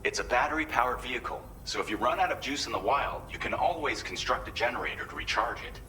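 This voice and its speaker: A man's voice: mid-range voice, animated, quick pace, pushed and bright, a little hiss or hum, poor audio. A man playing a friendly, earnest colleague who gives a chatty, considerate briefing in a video game. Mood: upbeat, helpful.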